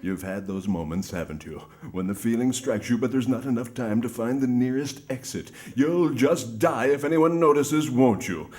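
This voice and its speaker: A man playing a mock-earnest sponsor pitchman in a parody radio advertisement, confiding and grandiose. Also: moderate pace, few pauses, a little mellow, natural voice. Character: smooth-talking old-time sponsor pitchman named Tommy.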